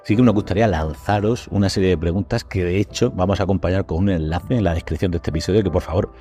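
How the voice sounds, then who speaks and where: A man's voice: low voice, fast talker, dark tone, faint music behind. A man with an accent from Spain hosting a podcast, chatting casually in informal, slangy language. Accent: Spanish from Spain. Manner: informal, colloquial, with slangy swearing. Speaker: podcast host.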